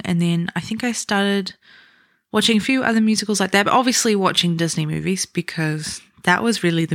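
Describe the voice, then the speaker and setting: A young woman with a New Zealand accent hosts a solo podcast, telling her story in a chatty, unhurried way. Her voice sits close and dry, with no room sound around it. She pokes a little fun at herself as she goes. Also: mid-range voice, no room sound. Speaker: podcast host. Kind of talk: casual solo podcast talk.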